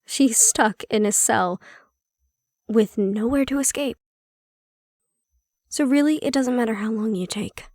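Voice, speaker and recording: A woman playing a prickly but secretly caring handler in an intimate fantasy audio roleplay, speaking in a wry, measured, matter-of-fact way. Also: fairly high pitch, a little mellow, no echo, clean studio sound. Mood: dry, needling.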